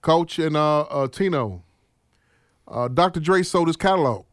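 A man hosts a casual podcast in a fairly high voice, quizzing his co-hosts in a playful, teasing way. The sound is dry and close, with no room around it. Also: lively intonation, unhurried, no room sound. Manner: asks the others for picks, playful. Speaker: podcast host.

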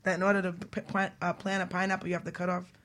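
Female talk host, mid-pitched with a bright edge, informal, inquisitive and unguarded. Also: flat delivery, fast, slight background noise. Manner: curious, chatty, candid. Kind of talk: casual chat.

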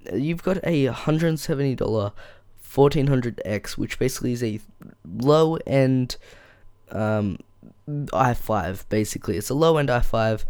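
A man with a croaky, flu-worn voice talks through a casual solo podcast, drifting between ums and exasperated rants. His tone stays smooth and resonant on a close boom-arm microphone. The foam-lined room sounds completely dry. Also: a little mellow, no room sound. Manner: rambling, many ums, frustrated rants. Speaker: podcast host. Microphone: boom-arm studio microphone.

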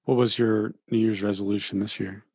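A man with a low voice hosts a podcast interview. He asks short questions in a casual, loose way, using "like" often. His audio is dry with no room echo. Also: level tone, a little fast, a little mellow, slightly rough. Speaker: podcast host.

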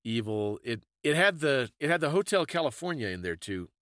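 Guy podcast host chatting and explaining stuff, lowish-normal voice, dry close sound. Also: very animated, a little fast, a bit bright, dry recording. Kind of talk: casual explanatory podcast conversation.

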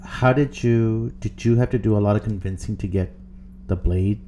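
A man's voice: lowish voice, normal speed, dark tone, slight background noise. A man hosting a podcast interview, asking supportive, admiring questions. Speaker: podcast host.

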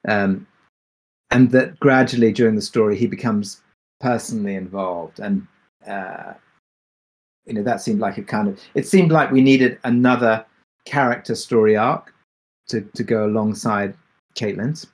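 Male creative lead, lower register, informal panel answers, halting and reflective delivery. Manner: halting, thinking aloud. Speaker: podcast drama creator and producer.

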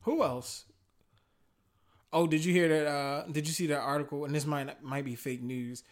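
A man with a slightly raised voice chats loosely about music and film. He talks in slang, gets animated, and laughs easily between points. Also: on the high side, normal speed. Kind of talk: casual podcast chat about music.

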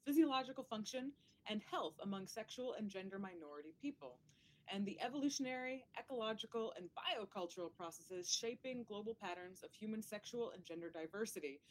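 A woman's voice: mid-range voice, animated, brisk, slight background noise, low-quality recording. A woman hosting a podcast, upbeat and self-deprecating, often saying "like". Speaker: podcast host. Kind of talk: casual chat.

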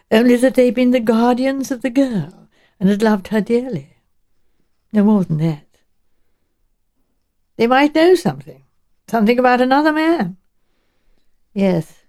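Shrewd, genteel old English lady detective for audiobook woman performing; soft-edged tone, thoughtful and chatty. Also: medium pitch, lightly acted. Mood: grave, thoughtful. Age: elderly. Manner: musing, hedging, politely chatty. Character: shrewd, genteel elderly village spinster turned amateur sleuth.

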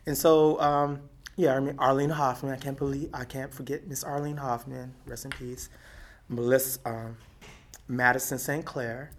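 A middle-aged woman from Texas with a deep, raspy voice, talking and laughing her way through memories in an oral history interview. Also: normal speed, slight background noise. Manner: lively, talkative, laughs often. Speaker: activist interviewee.

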